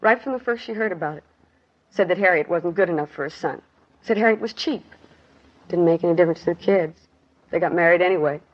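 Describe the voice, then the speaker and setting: A woman's voice: on the low side, fast, long pauses, lightly acted. Actress as weary woman in a dull vintage radio drama. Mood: bitter, sad. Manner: plain clipped sentences, colloquial grammar. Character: weary working-class woman, grieving witness telling a friend's tragedy. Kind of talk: 1950s radio drama acting. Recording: dull 1950s radio recording.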